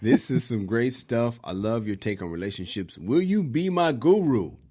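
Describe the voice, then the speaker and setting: A man's voice: medium pitch, animated, moderate pace, warm tone, husky. A man co-hosting a podcast, chatting casually in slang and reading out listener mail. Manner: laid-back, slangy, reads listener mail. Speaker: podcast co-host.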